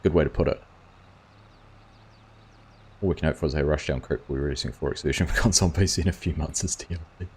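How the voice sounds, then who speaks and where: A man's voice: rumbling low, fast, pauses often, breathy edge. A man hosting a gaming livestream, chatting casually and reading viewers' comments aloud. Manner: rambling, reads chat aloud and answers viewers.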